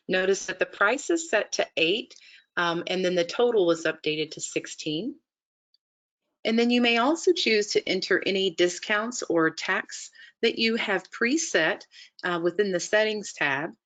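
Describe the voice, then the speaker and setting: A woman narrating an instructional software demonstration in a methodical, explanatory way, step by step. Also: on the low side. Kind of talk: instructional screen-share walkthrough narration.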